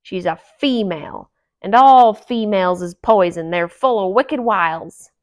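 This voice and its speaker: A woman voicing a grouchy, gruff old male dwarf who speaks in a sour, blunt way with rough grammar. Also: mid-range voice, measured pace, natural voice.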